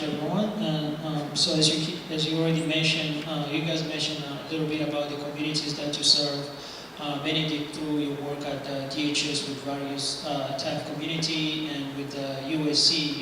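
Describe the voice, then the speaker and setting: A man moderating a panel discussion, phrasing his questions loosely. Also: a little high. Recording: echoey hall, distant mic, noisy.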